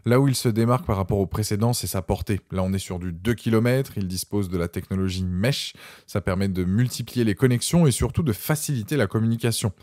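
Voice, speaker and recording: A man's voice: lowish voice, a little fast, darkish tone, no echo, clean studio sound. A man presenting a scripted product review voice-over, informally addressing viewers directly.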